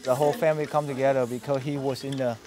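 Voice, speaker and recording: A man's voice: mid-range voice, level tone, fast. Hesitant man interviewed over music. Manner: hesitant, searching for words.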